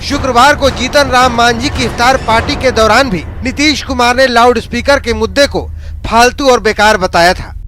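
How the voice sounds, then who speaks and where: Very high-pitched, bright male news reporter. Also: normal speed, a little hiss or hum. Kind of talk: scripted news narration, emphatic.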